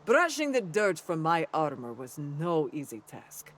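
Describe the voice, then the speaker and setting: An actor playing a noble, loyal armored knight in a fantasy video game, speaking in a measured, formal, theatrical way with wry self-deprecation. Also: male voice, on the high side, very animated, fairly bright tone, slight background noise. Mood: earnest, resolute.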